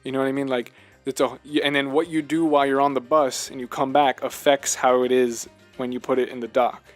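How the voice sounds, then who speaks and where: A man co-hosting a casual video game discussion, talking in an animated, rambling way and repeating himself for emphasis. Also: mid-range voice, brisk, soft background music. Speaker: podcast co-host.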